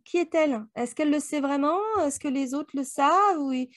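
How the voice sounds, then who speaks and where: Female co-host, higher register, dry close sound, thoughtful conversational delivery with rhetorical questions. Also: fairly quick, no echo. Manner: reflective, poses rhetorical questions. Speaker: book club podcast co-host. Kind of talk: casual book discussion chat.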